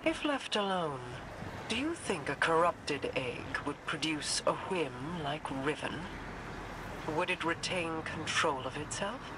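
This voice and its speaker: An actor playing a composed, brooding sorcerer and scholar in a video game, measured, commanding and contemplative. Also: male voice, fairly high pitch, background noise. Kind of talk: dramatic video game dialogue. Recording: loud game music behind.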